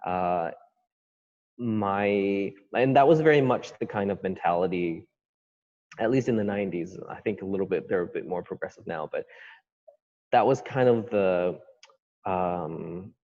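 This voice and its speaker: Deep-voiced man, thoughtful and hesitant. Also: a little slow, long pauses, a little mellow, in a large hall, highs cut off. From Canada. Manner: hesitant, thoughtful, many fillers. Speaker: author guest. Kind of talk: podcast interview.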